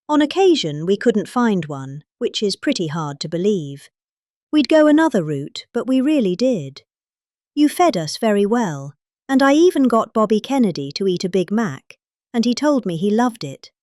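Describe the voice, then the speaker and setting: A woman's voice: medium pitch, a little mellow, no room sound, clean studio sound. A middle-aged woman with a British accent giving a dramatic comic reading of a speech, pompously, with exaggerated emphasis. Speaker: performer reading out a political speech.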